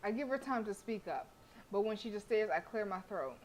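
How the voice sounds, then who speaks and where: A woman's voice: medium pitch, very animated, brisk, slight background noise, poor audio. A woman streamer reading a visual novel aloud expressively, with casual, sassy asides. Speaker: game streamer.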